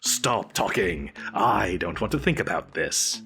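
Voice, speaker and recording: A man's voice: sits low, expressive, unhurried, pushed and bright, soft background music, exaggerated voice. A man playing a petulant, theatrical supervillain boss in a comedic audio roleplay, with a pompous, melodramatic manner. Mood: indignant, pompous. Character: petulant, theatrical male supervillain boss, grandiose but exasperated.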